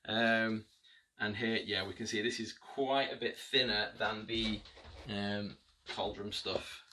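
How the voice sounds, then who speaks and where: A man talks casually through an unboxing, full of ums and restarts. His voice is low but bright and forceful. He sits a little back from the mic, with a faint hiss behind him. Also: fairly even intonation, slow pace, recorded from a distance, rough recording. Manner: chatty, hesitant with frequent ums and restarts. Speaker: miniatures reviewer.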